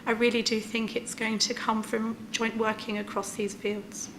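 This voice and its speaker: A woman's voice: on the high side, little pitch movement, moderate pace, a bit bright, a little hiss or hum. Woman presenting a report, careful. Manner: careful, hesitant with fillers. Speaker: clinical lead presenting a report. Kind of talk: formal meeting presentation.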